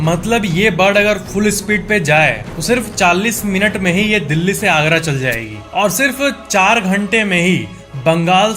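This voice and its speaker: A man's voice: high voice, fairly bright tone. A man narrating a countdown of facts in a casual, conversational way, repeating and rephrasing points for emphasis. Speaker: facts channel host. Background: background music.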